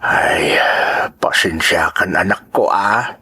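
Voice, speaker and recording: A man with an Ilocano accent playing a gentle, caring old father with a husky voice in a radio drama. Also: low-pitched, takes it slowly, in a whisper, full character voice. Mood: apologetic, sorrowful.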